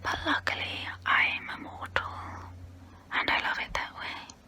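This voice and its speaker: A woman voicing a haughty immortal vampire who looks down on humans, in a breathy close-up whisper for an ASMR role play. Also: pushed and bright. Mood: disdainful, musing. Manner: slow, deliberate, condescending.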